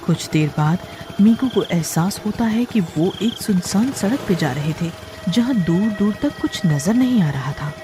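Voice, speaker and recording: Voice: mid-range voice, expressive, a little slow. A narrator reading a scripted horror story for a cartoon. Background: background music.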